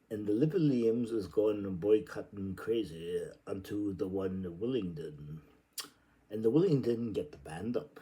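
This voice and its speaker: Male, low pitch, very lively intonation, unhurried pace, theatrical literary reading, playful, exclamatory. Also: low-quality recording. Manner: playful, exclamatory delivery with sudden outbursts. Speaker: reader.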